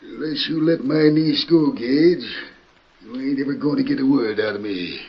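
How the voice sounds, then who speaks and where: An actor playing an anxious but stubborn rancher uncle in an old-time radio western, folksy and earnest with an American accent. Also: male voice, mid-range voice, moderate pace, husky. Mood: pleading, defiant. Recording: dull, lo-fi vintage radio recording.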